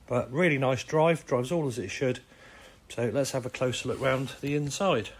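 A man with a croaky, hoarse voice and a British accent, casually talking through a car's features while filming in an indoor showroom. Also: mid-range voice, normal speed. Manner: relaxed, chatty listing of features. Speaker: car salesman. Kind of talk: walkaround car sales video. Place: indoor car showroom with tiled floor.

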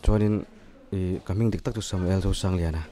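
Deep, mellow man. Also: steady tone, a little fast. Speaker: singing contest contestant. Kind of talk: casual interview answers. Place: indoor lounge. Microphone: handheld microphone held by host.

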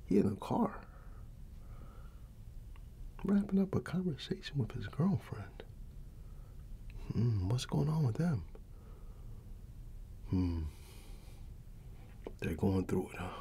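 A middle-aged man doing a casual ASMR barber roleplay, playing an easygoing uncle in a soft, breathy, often whispered voice and pausing for unheard replies. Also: mid-range voice, sing-song, a little hiss or hum. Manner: unhurried, familiar and colloquial, with pauses for unheard replies. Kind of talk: ASMR roleplay, casual one-sided chat.

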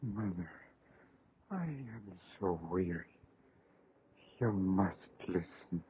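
An actor playing the gentle, weary ghost of a drowned son in a 1930s radio horror play. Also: male voice, low-pitched, sing-song, takes it slowly, full character voice. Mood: weary pleading. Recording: tinny 1930s radio recording, faint noise.

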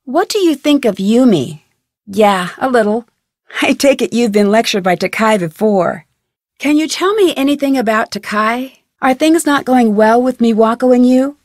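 A woman's voice: a little high, animated, moderate pace, darkish tone, no echo, clean studio sound, close to an everyday voice. A woman voicing a curious, polite young amateur detective in a video game, with a friendly, inquisitive delivery. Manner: inquisitive, friendly, clearly articulated questioning. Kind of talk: video game dialogue, single scripted lines.

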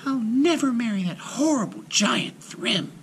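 Storybook casting: furious goddess, very high, screaming, forceful. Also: male voice, animated, a little slow, slight background noise, exaggerated voice. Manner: screaming, shrill. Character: furious young goddess refusing marriage, shrill and screaming.

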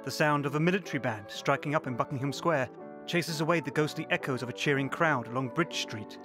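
A man's voice: a little high, brisk, close to an everyday voice. An actor in his sixties narrating as an older man recalling his wartime boyhood, reflective and wistful. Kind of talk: scripted narration. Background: music.

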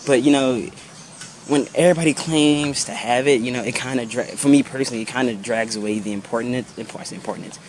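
A man's voice: medium pitch, fairly even intonation, brisk. Sick guy in his 20s rambling to a handheld cam outside, tons of "you know" and "bro", swears a lot, faint outdoor noise behind. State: sick, voice a bit off. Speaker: vlogger. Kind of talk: casual rant to camera. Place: outdoors under trees by a brick building. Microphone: handheld camera held low at arm's length.

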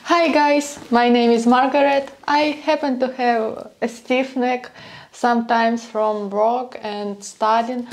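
A woman's voice: fairly high pitch, steady tone, slow, soft background music. A playful, giggly young woman talking as a massage patient in a small room. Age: young adult. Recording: roomy sound with faint noise.

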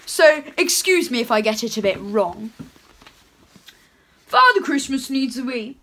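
Young girl putting on a jolly father christmas, bright high voice, ho ho ho, reading a kids book at home. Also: very animated, measured pace, full character voice. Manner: lowered playful voice, chuckling ho ho ho. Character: jolly male Father Christmas, chatty storyteller. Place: home room with curtains.

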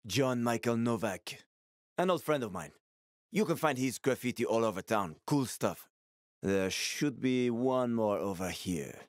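A man's voice: medium pitch, lively intonation, a little slow, dry recording, studio quality, natural voice. An actor playing a wry, streetwise male survivor and former cab driver in a video game, colloquial and sweary, with an urgent manner. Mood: nostalgic. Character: wry, streetwise male ex-cabbie survivor, fatherly yet shifty. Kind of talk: video game character dialogue.

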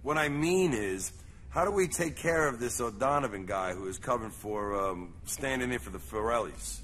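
A man plays a blunt, streetwise male mobster in a video game. His voice is medium in pitch, dry and no-nonsense, with faint noise behind it. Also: lively intonation, normal speed, a bit bright, lightly acted. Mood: blunt, businesslike. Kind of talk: video game cutscene acting.

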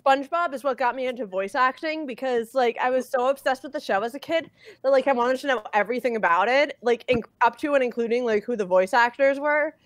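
A woman's voice: high voice, quick pace. A woman chatting casually with friends on an online group call. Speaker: voice actor in a comic dub cast. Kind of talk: casual chat.

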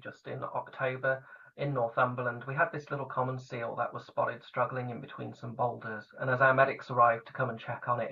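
Gravel-voiced man presenting, far from the mic, muffled lo-fi call sound. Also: mid-range voice. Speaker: seal rescue group presenter. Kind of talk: online meeting presentation. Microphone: video call. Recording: distant, dull lo-fi call audio.

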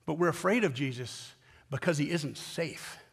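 An American man preaches from a church stage in a somewhat high-pitched voice. He is a pastor who speaks earnestly and with emphasis, repeating phrases and pausing deliberately between them. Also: creaky, husky, in a large hall. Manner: earnest, emphatic delivery with deliberate pauses and repetition. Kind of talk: sermon. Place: large indoor church auditorium stage at a wooden pulpit.

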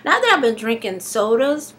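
A woman in her thirties, slightly hoarse and congested, talking haltingly in a casual video diary with many fillers and restarts, recorded on a webcam in her living room. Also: a little high, very animated, a bit bright, slight background noise. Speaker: vlogger. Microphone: webcam at arm's length.

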